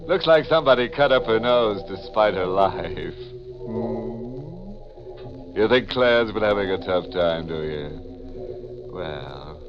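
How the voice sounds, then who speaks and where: An actor playing a gleefully macabre horror-show host, drawling puns with mock sympathy on 1940s radio. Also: male voice, on the low side. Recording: muffled 1940s radio recording.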